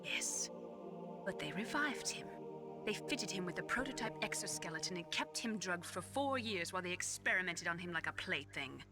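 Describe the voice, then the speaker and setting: A woman voicing a serious military medical scientist in a video game cutscene, measured and clinical. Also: on the low side, animated, natural voice. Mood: solemn, guarded. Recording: game cutscene audio under clear music.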